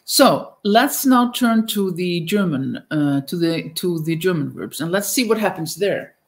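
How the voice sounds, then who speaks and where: A middle-aged woman with an Icelandic accent gives a conference lecture over slides on a video call, pausing often with fillers and restarts. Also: fairly low pitch, animated. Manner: hesitant, many fillers and restarts. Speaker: linguistics lecturer. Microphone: webcam on video call.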